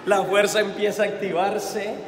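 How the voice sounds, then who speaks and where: A man with a Colombian accent, a priest giving a homily at mass. He is lively and keeps asking the congregation questions, then answering them himself, and he tells stories from his own life. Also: high voice, normal speed. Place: church. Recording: echoey church hall, faint background noise.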